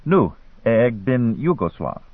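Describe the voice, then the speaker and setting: A guarded American man traveling abroad, a storybook character, read by a male narrator. He is sardonic and dismissive, with a very mobile pitch. The recording is thin and radio-like. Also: wildly swooping pitch, moderate pace, no echo, narrow, tinny audio, exaggerated voice. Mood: evasive, dry.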